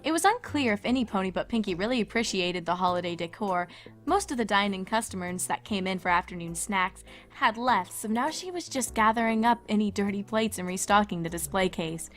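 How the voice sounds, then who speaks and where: A woman's voice: medium pitch, a bit bright. A woman reading scripted third-person story narration as the narrator of a cartoon fan fiction.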